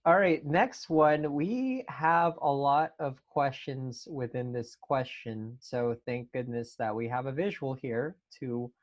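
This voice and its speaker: Male host, average pitch, informal question-reading delivery, somewhat dull lo-fi recording. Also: slow pace, hardly any pauses. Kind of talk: casual panel hosting. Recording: slightly dull, lo-fi audio.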